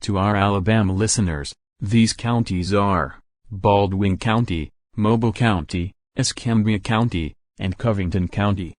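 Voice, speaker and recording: A man's voice: fairly low pitch, measured pace, no echo, clean studio sound, close to an everyday voice. A man playing an urgent, increasingly alarmed news broadcaster in an amateur disaster radio drama. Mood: grave, alarmed. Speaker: news anchor. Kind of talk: breaking-news radio announcing in a fan drama.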